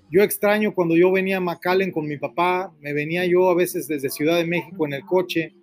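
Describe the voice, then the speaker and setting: A middle-aged man with a Mexican accent, hosting live call-in radio and talking in an earnest, rambling way that repeats itself. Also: high-pitched. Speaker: radio host.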